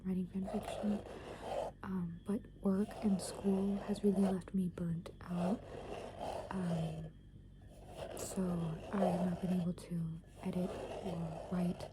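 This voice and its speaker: Whispering young woman, noisy ASMR recording. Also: lowish voice. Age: young adult. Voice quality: breathy, mostly unvoiced whisper. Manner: rambling, hesitant, frequent "like". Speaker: ASMR video creator. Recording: clear background noise.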